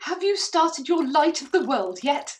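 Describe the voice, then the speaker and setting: A woman's voice: sits high, lively intonation, measured pace. An English woman acting a genteel, adoring young Victorian lady in a radio play. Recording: echoey room.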